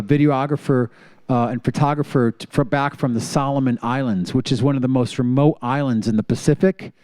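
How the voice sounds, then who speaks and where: A male host with a crisp, resonant voice, speaking in a dry close-up sound. He talks in an easygoing, eager way, tossing out fun facts and handing off to the next segment. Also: medium pitch, darkish tone, no room sound. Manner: enthusiastic, shares fun facts with a chuckle. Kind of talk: casual radio show hosting.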